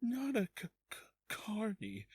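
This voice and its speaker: A man's voice: sits high, wildly swooping pitch, unhurried, a little mellow, husky, no echo, clean studio sound, exaggerated voice. A man voicing a timid, nervous ventriloquist who speaks hesitantly and stutters, for a character reel.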